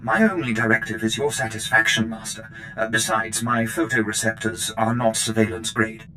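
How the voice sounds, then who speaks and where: A man's voice: on the low side, monotone, moderate pace, noisy background, lightly acted. A man voicing an obsequious droid butler in a video game, formal, precise and anxious to please his master. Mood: nervous, eager. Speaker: ship's droid servant. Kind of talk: scripted video game acting.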